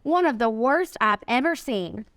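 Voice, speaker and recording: Woman reading as a kind old lady, high-voiced. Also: expressive, unhurried, dry recording, clean studio sound. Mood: kindly, helpful. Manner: bright, gentle, folksy. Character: kind, plump, sweet old woman with a remedy.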